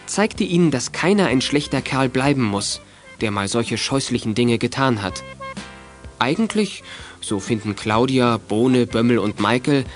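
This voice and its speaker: Guy narrating a kids audio drama, medium pitch, reading the story, bit of music underneath.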